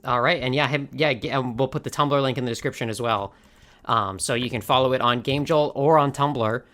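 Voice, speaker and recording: A woman's voice: extremely low pitch, a little fast, a bit bright. A woman hosting a podcast in casual chat, laughing often and restarting her sentences. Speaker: podcast host.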